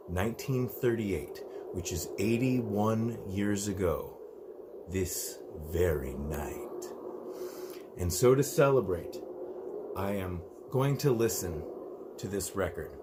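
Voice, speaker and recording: Slow, low-voiced man, noisy recording. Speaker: host of a record review channel. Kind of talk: casual, low-key chat to viewers.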